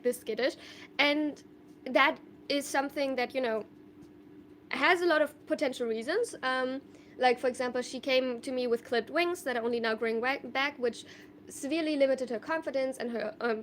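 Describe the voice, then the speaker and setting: A young woman from Germany with a high, light voice talks on a live stream. She answers viewer questions in a loose, thoughtful ramble full of fillers and restarts. Faint room ambience sits underneath. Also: fairly quick, fairly bright tone, slight background noise. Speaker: livestreamer.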